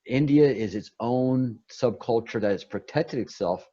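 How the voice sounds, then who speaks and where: A man's voice: mid-range voice, measured pace, warm tone, highs cut off. A man talking casually and at length on a meditation call, rambling with many restarts and repeated words. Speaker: guest on a meditation talk. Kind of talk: casual spiritual chat.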